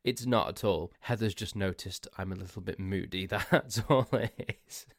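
A man's voice: low-pitched, moderate pace, dry recording, clean studio sound. A man hosting a solo podcast, stuffy and losing his voice, rambling and self-mocking with frequent laughs. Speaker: podcast host.

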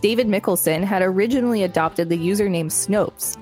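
A woman's voice: medium pitch, a little fast, darkish tone, soft background music. A woman co-hosting a podcast and reading an encyclopedia article aloud. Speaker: podcast co-host.

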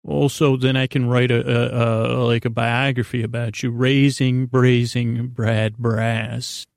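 A man hosting a sleep podcast with a rambling, improvised monologue, halting and circling back on himself in an unhurried, lulling way. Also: medium pitch, level tone, gravelly, no room sound, studio quality. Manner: halting, meandering, self-interrupting, with trailing repetitions. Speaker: podcast host.

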